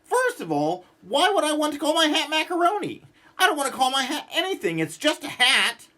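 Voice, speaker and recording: A man voicing a cranky, stubborn, exasperated man who grumbles and rants in an animated storybook read-aloud. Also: very high voice, brisk, voice pushed up, exaggerated voice. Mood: grumpy complaining. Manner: exasperated, ranting, emphatic.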